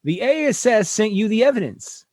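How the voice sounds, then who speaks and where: A man's voice: high voice, expressive, normal speed, darkish tone. A man playing an exasperated, tactless human starship captain in a sci-fi radio comedy, blustering and pleading as he talks.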